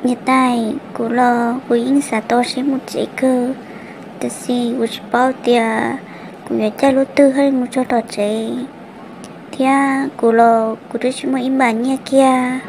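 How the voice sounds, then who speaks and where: A woman acts out a high-voiced female character in a cartoon family drama. The dramatic dialogue comes with clear background noise. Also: little pitch movement, unhurried, a little mellow, poor audio. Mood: conversational.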